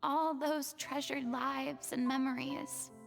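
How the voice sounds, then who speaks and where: A woman voicing a remorseful young woman in a video game, speaking softly and wistfully, often half-whispered. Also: sits high, flat delivery, slow, vocal fry, soft background music, exaggerated voice. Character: remorseful young woman, former goddess pretender.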